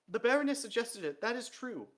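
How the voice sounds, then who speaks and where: A bitter female dwarf zealot spits out her words in a high, strained voice, as a man reads her part aloud. She rants hard and loud, her pitch climbing and swooping. It sounds like a storybook villain cracking under pressure. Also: big pitch swings, fairly quick. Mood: pleading. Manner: high, strained, ranting to the point of sobbing. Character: fanatical female dwarf official, shrill and bitter. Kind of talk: reading a novel aloud.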